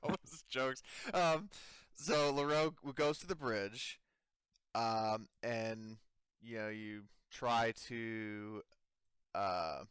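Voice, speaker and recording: A man narrating a tabletop game as game master in a halting, informal way. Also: mid-range voice, fairly bright tone, smooth, no echo, studio quality.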